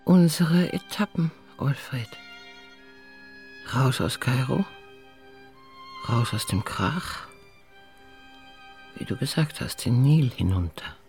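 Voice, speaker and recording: A woman playing a composed, wistful lady of the house in a German radio drama, speaking slowly and deliberately. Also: extremely low pitch, expressive, a little mellow, soft background music, full character voice. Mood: wistful, intimate.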